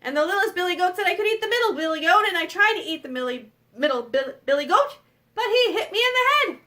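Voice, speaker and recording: A woman voicing a mean, hungry bridge troll in a storybook tale, loud, drawn-out and demanding. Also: extremely high pitch, animated, full character voice. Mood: hungry, aggrieved.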